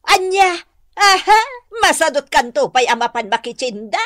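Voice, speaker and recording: An actress with an Ilocano accent playing a practical, grudging wife in a radio drama. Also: female voice, high-pitched, animated, moderate pace, a bit bright. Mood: grudging, doubtful. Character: practical, reluctant wife guarding the household money.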